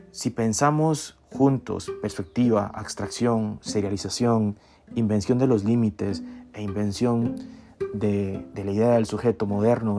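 A man giving a reflective academic lecture in a measured, deliberate way, breaking his phrases with pauses. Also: lowish voice. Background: guitar music.

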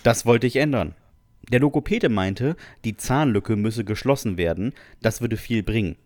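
Low-voiced man, amused storyteller. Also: normal speed, a little mellow, dry recording, clean studio sound. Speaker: podcast co-host. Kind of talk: reading out listener stories with comments.

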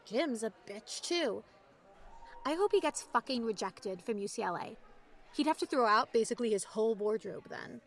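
Outspoken high school best friend played by a woman, high-pitched, indignant, conversational audio drama delivery. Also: expressive, normal speed. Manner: casual, griping, emphatic. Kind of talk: naturalistic audio drama acting.

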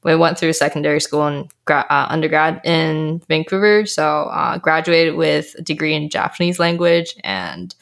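A woman's voice: deep voice, moderate pace, vocal fry, few pauses, fairly bright tone. A young woman from Canada, a guest on a podcast, chatting casually and laughing often. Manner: bubbly, laughs often, lots of "like" and "um". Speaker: podcast guest, health coach. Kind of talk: casual interview chat.

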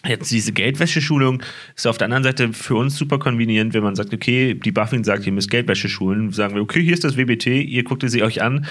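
A man's voice: mid-range voice, fast talker, fairly bright tone. A man hosting a casual conversation, hesitating with fillers and laughing often. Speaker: host asking questions.

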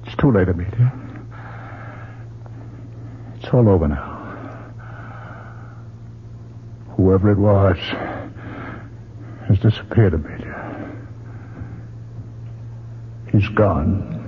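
A man's voice: low-pitched, unhurried, pauses often. An actor playing a middle-aged, anxious and protective husband and father in a 1970s radio drama. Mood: resigned. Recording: dull, lightly noisy old radio recording.

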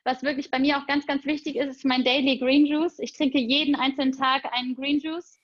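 A woman's voice: sits high, level tone, fairly quick, no room sound, muffled recording. A young woman talking casually and enthusiastically about meditation routines, with frequent fillers. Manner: enthusiastic, spiritual, chatty with fillers. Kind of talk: casual conversation.

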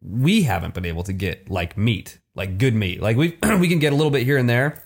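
A man co-hosting a casual chat, joking and excitable in quick banter. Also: mid-range voice, vocal fry, husky. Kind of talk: casual video-call chat.

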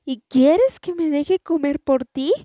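Woman voicing a shrill, indignant runaway tortilla. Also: slow pace, no room sound, studio quality. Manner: high, loud, exclaiming.

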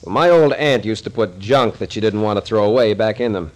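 An actor playing a gruff, shrewd captain who takes charge, dry and commanding, in an old radio thriller. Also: male voice, lowish voice, a little fast, natural voice. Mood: dry, explaining.

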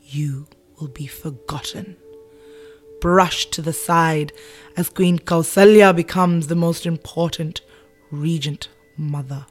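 A woman's voice: mid-range voice, sing-song, slow pace, a little mellow, faint music behind. A woman doing a scheming old maid. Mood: conspiratorial. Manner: dramatic, cackling, sly whispering.

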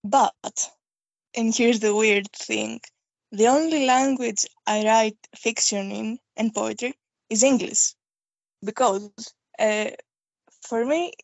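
A young adult woman from Greece with a Greek accent, a guest poet who talks thoughtfully in a casual interview, pausing on fillers. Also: fairly high pitch, a little mellow, dry recording. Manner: thoughtful, unhurried, halting with fillers.

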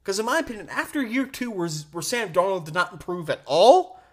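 A male sports podcast host with a very lively, swinging pitch range. He speaks emphatically and with strong opinions, stretching key words for stress as he delivers solo commentary. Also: fairly quick.

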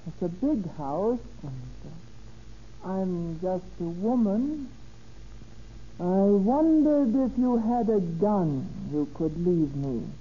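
An actress playing a coy, gushing, calculating gold-digger in a 1930s radio drama. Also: female voice, mid-range voice, expressive, slow, dark tone, husky. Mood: coy, friendly. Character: scheming, sweet-talking gold-digging married woman. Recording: muffled, noisy old radio recording.

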